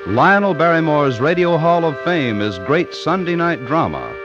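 A man's voice: a little high, sing-song, moderate pace, music in the background. A man reading scripted announcements in the polished, formal style of a 1950s radio announcer. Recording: muffled old radio recording.

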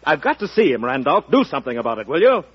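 An actor playing an insistent, impatient political party boss with clipped delivery, in old-time radio drama style. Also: male voice, a little high, sing-song, fast, husky, faint music behind. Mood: exasperated. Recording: muffled classic radio broadcast recording.

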